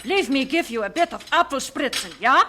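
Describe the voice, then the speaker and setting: A woman's voice: sits high, expressive, moderate pace, bright, forceful tone, slight background noise. A woman playing a hearty, folksy farm woman with a Pennsylvania Dutch accent in an old radio drama.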